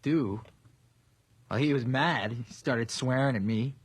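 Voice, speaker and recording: A man's voice: mid-range voice, takes it slowly, husky, no echo. An actor playing a cocky, defensive young man in a radio drama, brash and flippant. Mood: evasive, flippant. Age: young adult.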